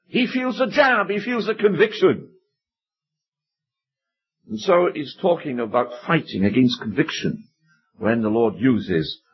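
A man's voice: sits high, husky. A man preaching an earnest expository sermon, with emphatic rises on key phrases. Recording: dull lo-fi recording.

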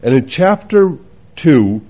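A man's voice: fairly high pitch, big pitch swings, slow, breathy edge, muffled recording. A man from the Faroe Islands with a Faroese accent, preaching a sermon earnestly, with asides and rhetorical questions to the congregation.

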